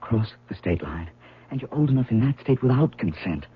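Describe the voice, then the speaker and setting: Earnest young painter, tinny radio sound. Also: male voice, mid-range voice, animated, fairly quick, husky. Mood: anxious pleading. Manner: hesitant, stammering. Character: earnest, nervous young male painter in love. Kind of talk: 1970s radio drama acting with first-person narration. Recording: tinny, noisy old radio broadcast.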